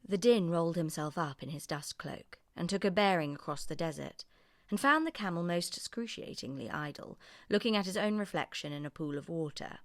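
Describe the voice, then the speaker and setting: Brief: female storybook narrator, slightly low pitch, very animated, unhurried, close dry sound. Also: dry recording. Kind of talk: expressive scripted children's story narration.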